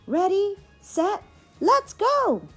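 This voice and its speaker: An eager, curious little girl from a storybook, acted out by a woman. Her pitch leaps high and swoops as she exclaims over every sight, though the words still come unhurried, with faint music behind. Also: very animated, a bit bright, full character voice. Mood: excited. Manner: exclaiming, wide-eyed and eager.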